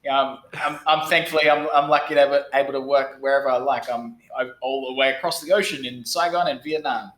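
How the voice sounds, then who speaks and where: A man's voice: mid-range voice, steady tone, brisk, few pauses, fairly bright tone. Blunt Australian man in an echoey room. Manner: blunt, casual, frequent restarts. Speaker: guest. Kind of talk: casual interview talk.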